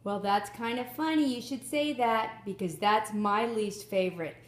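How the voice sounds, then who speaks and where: A middle-aged woman chatting casually about a picture book, engaged and expressive, commenting and asking questions. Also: on the high side, normal speed. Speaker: daughter listening and commenting on a story. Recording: roomy echo around the voice.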